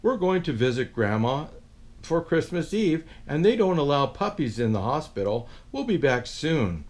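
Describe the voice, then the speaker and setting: A kind storybook mother saying a gentle goodbye, as a male storyteller reads her part. The voice sits a little high and moves in lively rises and falls. The tone is soft and reassuring. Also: normal speed. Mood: apologetic. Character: gentle apologetic mother leaving her puppy.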